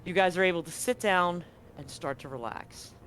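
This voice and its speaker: A man's voice: high voice, normal speed, fairly bright tone, slight background noise. A man narrating as game master of a tabletop roleplaying game, often correcting himself mid-sentence as he describes the scene. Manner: rambling, self-correcting narration.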